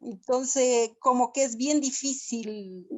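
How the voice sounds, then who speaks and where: Ecuadorian woman, kinda high voice, talks real slow and worried, video call sound. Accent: Ecuadorian Spanish. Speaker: audience member asking for help. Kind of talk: personal question in a live talk.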